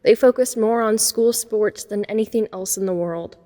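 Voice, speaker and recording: Mellow, introspective teenage girl, acted by a woman, reverberant. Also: mid-range voice, moderate pace, natural voice. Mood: wry, wistful. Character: introspective, self-conscious teenage girl, a science geek outcast. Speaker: narrator and lead character. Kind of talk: first-person dramatic narration.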